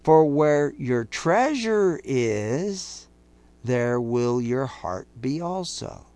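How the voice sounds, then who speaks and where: A man preaching a sermon to his listeners, accusing and emphatic, slowing down to quote scripture in a measured cadence. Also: mid-range voice, very animated, a little mellow, dry recording. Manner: intense, rising to emphatic outbursts; accusing, speaks directly to listeners.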